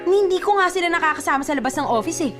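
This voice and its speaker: High-voiced, fed-up girlfriend. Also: animated, very fast. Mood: exasperated, angry. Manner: exasperated, dismissive, challenging. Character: fed-up, defiant young girlfriend arguing with her boyfriend. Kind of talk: Filipino radio drama acting. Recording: music bed under the voice.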